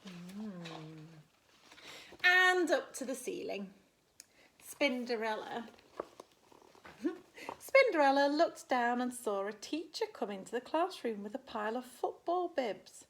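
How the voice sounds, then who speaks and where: A woman's voice: a little high, a little hiss or hum. A woman reading a picture book aloud as a primary teacher would, expressively and with little asides to young listeners. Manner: animated, slow and deliberate storytelling, gasps and murmurs for effect.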